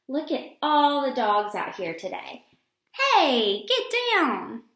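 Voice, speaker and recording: A woman's voice: high-pitched, big pitch swings, slow pace, a bit bright, full character voice. A woman voicing an eager, playfully bossy schoolgirl in a children's storybook read-aloud. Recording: roomy, echoing sound.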